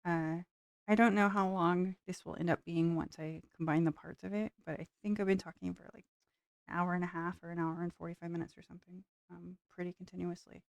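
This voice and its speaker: A woman's voice: lowish voice, level tone, brisk, creaky, clean tone, no echo. A woman vlogger chatting casually while building miniatures, her voice tiring from long talking, meandering with lots of fillers. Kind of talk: rambling casual chat while building models.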